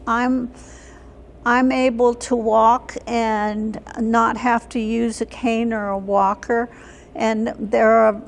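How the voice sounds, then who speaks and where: An older woman with a slightly high voice speaks slowly and with little rise or fall. She gives plain, matter-of-fact answers in an interview, a little halting as she goes. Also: slight background noise. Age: older, senior. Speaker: interview guest, senior center member.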